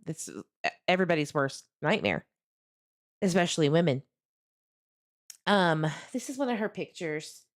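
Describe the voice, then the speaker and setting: A woman hosting a true crime livestream, commenting casually and earnestly as she talks directly to her viewers. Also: low voice.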